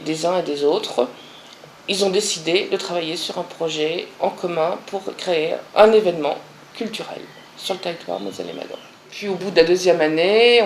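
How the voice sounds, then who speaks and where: A woman speaking as a local project organiser, recounting how a project came together in an interview. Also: fairly low pitch. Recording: roomy echo, faint background noise.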